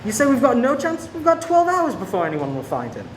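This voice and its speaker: A man's voice: very high-pitched, huge pitch swings, a little fast, exaggerated voice. A man playing a protective, persuasive police sergeant in love in a radio play. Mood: urgent, pleading. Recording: echoey room with faint noise.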